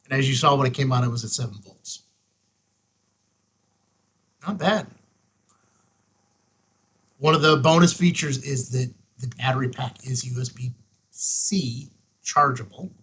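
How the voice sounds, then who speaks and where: A man's voice: medium pitch, a little slow, long pauses, fairly bright tone, slightly rough. A man hosting a casual hands-on product review, talking in a folksy, emphatic way with short punchy phrases. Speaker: host of a ham radio review show.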